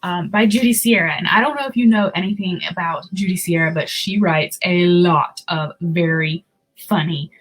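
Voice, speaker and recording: A woman's voice: fairly low pitch, normal speed, rough recording. A woman hosting a picture book read-aloud, telling the story expressively with casual asides.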